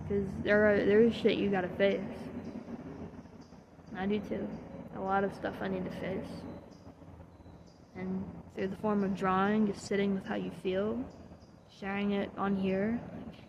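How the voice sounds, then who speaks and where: Earnest young woman with a gravelly voice. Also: mid-range voice, flat delivery, measured pace, pauses often, dull audio, noisy background. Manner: earnest and heartfelt, swearing, trailing off with soft laughs. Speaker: vlogger. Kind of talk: candid motivational monologue.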